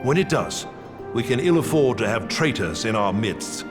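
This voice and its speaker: An actor playing a proud, gracious old male knight captain in a game cutscene, speaking in a formal, measured way. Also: medium pitch, very animated, music in the background, natural voice. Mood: earnest. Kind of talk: video game cutscene voice acting.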